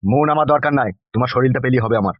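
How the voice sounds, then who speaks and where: A man's voice: medium pitch, rapid-fire, dry recording, dull audio, natural voice. A man voicing a bullying, lecherous local politician in a comic cartoon, crude and blustering. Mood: possessive demanding. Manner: blustering, crude, exclaiming in repeats.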